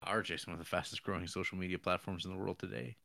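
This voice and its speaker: A man's voice: sits low, fairly even intonation, racing, creaky, pushed and bright. A man co-hosting a podcast in casual chat, with a dry, jokey, mock-formal way of talking. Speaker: podcast co-host.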